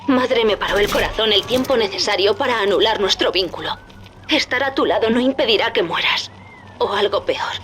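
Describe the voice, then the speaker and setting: A woman playing an earnest, devoted young daughter in a video game, speaking Castilian Spanish in an intimate, solemn, at times breathy way. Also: medium pitch, brisk, fairly bright tone, a little hiss or hum, natural voice. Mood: earnest, reassuring. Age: young adult. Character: earnest, devoted young captive daughter, gentle yet resolute. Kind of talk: dramatic video game voice acting.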